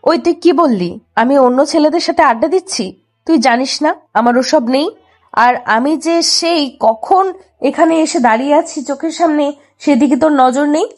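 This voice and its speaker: A woman playing a hot-tempered, sharp-tongued young college girl who scolds her cousin in an audio love story. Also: sits high, moderate pace, lightly acted. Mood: indignant. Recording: music under the voice.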